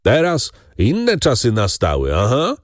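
A man's voice: medium pitch, big pitch swings, moderate pace, darkish tone, no room sound, clean studio sound, voice pushed up. A man voicing defiant farm servants in an audiobook, making insistent demands in rustic dialect.

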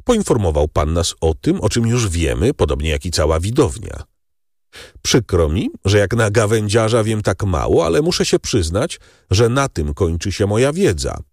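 A man voicing gossiping ladies and gentlemen at the opera in a raised, animated voice. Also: fairly low pitch, normal speed, dry recording, clean studio sound, pitched above the performer's own voice. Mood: chatty.

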